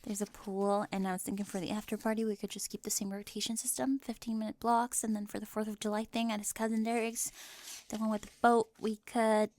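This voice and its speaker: A young woman acting a bossy, easily flustered, over-organized high school girl with a crush, in an ASMR roleplay. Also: little pitch movement, brisk, hardly any pauses, darkish tone, no room sound, lightly acted. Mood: grudging, businesslike. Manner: indignant, emphatic, easily flustered, stammering when caught.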